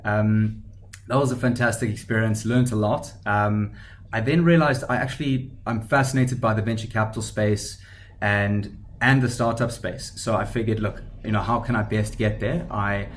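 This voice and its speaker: A South African man in his thirties tells his career story as a podcast guest. His voice sits low, and he speaks in a level, monotone way, pausing often with ums and starting sentences over. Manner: hesitant, many ums and restarts. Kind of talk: conversational podcast interview.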